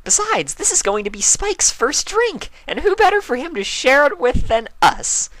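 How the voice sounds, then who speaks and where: A bubbly young mare from a cartoon fan story, acted out by a man. The voice sits high and swings up and down with girlish, gushing excitement. It is recorded close and dry. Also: normal speed, dry recording, full character voice. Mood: playful, chatty. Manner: gushing, exaggerated girlish delivery. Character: bubbly, hyper, pleading young female party pony.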